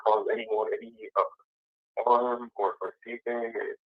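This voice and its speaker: Halting man on a dull phone line. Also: medium pitch, level tone, no echo. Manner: halting, repetitive, rambling. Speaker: inmate calling in from jail. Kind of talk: casual phone conversation. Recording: dull, band-limited jail phone line.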